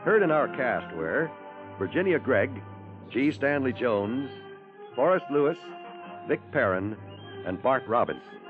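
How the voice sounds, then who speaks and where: A man's voice: mid-range voice, very animated, unhurried, long pauses, phone-quality sound. A man reading scripted credits as a 1960s radio announcer, on a thin old recording. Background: music.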